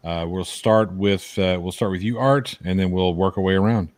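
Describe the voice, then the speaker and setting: A man's voice: low voice, normal speed, darkish tone, dry recording. A man hosting a casual chat, joking and teasing as he goes. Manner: playful, teasing. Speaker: podcast host.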